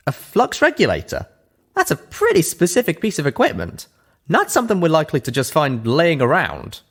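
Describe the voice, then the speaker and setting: A man's voice: on the high side, huge pitch swings, a little fast, fairly bright tone, voice pushed up, full character voice. A man voicing an inquisitive, skeptical young female pony adventurer with a plain-spoken manner.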